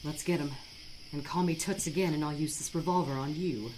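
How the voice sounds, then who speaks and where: A woman's voice: low-pitched, fairly even intonation, brisk, background noise, close to an everyday voice. A woman playing a tough, hot-tempered sidekick in a noir audio drama. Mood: wry, threatening.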